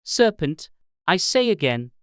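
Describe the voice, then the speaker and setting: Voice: medium pitch, big pitch swings, measured pace, a bit bright, clean tone, no room sound, clean studio sound, pitched above the performer's own voice. A narrator voicing a frantic, accusing large pigeon that screams insistently in a dramatized storybook. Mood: alarmed, accusing.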